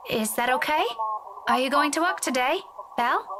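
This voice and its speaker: Woman voicing high-pitched, concerned young game heroine. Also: normal speed, close to an everyday voice. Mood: gentle concern. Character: prim, concerned young woman calling on her neighbour. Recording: clearly noisy background.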